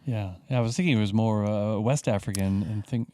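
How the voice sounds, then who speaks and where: A man's voice: fairly low pitch, dark tone. A man hosting a radio show, asking questions in a friendly, conversational way with small restarts. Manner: friendly, conversational, slightly halting. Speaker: radio host. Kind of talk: radio studio interview. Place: radio studio.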